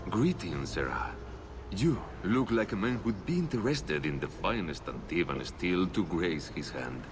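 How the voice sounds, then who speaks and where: Deep, animated travelling merchant. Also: male voice, a little slow, over music. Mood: ingratiating. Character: smooth-talking travelling merchant, evasive salesman. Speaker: game character voice actor. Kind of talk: video game dialogue.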